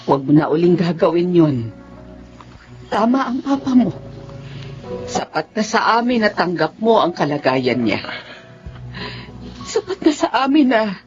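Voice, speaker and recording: A worried Filipino mother in a radio drama, scolding and pressing. Her high voice swings widely with emotion over faint music. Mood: firm, loving. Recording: dull audio with music underneath.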